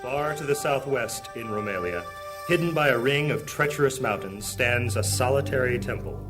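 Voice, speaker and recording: A man narrating a high fantasy audio drama as a solemn, measured storyteller. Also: animated, music in the background, close to an everyday voice.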